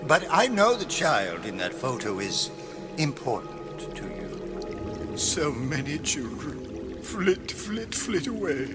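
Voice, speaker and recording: An actor playing a flamboyant, menacing mad artist in a video game, with grandiose, florid delivery that lurches between whispers and shrieks. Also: male voice, fairly high pitch, big pitch swings, takes it slowly, over music. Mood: sly, menacing. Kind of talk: theatrical video game villain acting.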